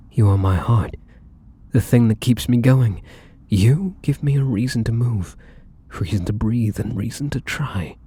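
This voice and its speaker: A man playing a tender, devoted, battle-weary vampire lover in an intimate ASMR roleplay, speaking softly and breathily with gentle, hesitant, affectionate pauses. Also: fairly low pitch, slight background noise. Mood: devoted, earnest. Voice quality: soft, breathy, husky.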